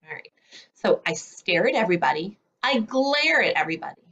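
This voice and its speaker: Woman reading as brazen bad seed, animated delivery. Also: mid-range voice, muffled recording. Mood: brazen confession. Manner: dramatic, confessional storybook delivery with drawn-out words. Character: self-declared bad little seed, male, brazen storybook narrator.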